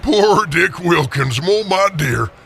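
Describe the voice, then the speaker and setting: A man playing an emotional, remorseful old miser with a gravelly, raspy voice in an audio drama. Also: sits high, expressive, takes it slowly, fairly bright tone, soft background music, voice pushed up, full character voice. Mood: excited, delighted. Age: older.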